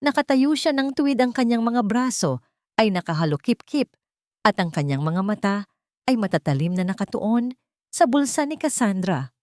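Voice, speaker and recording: A woman's voice: on the low side, a little mellow, no room sound, studio quality. A woman reading a scripted, dramatic story as its narrator.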